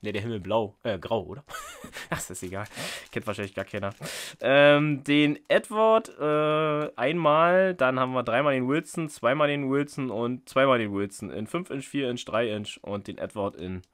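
A man's voice: medium pitch, moderate pace, a bit bright. Chatty man unboxing fishing lures. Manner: chatty, jokey, thinking aloud with fillers. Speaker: fishing channel host. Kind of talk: casual unboxing commentary. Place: indoors at a table. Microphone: off-camera microphone, top-down camera.

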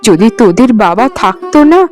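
A woman's voice: a little high, very animated, a little fast, a little mellow, faint music behind. A woman playing a poor, careworn, tender mother in a cartoon, speaking in a plaintive, sighing, affectionate way. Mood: wistful. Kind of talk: animated Bengali folk-tale cartoon acting.

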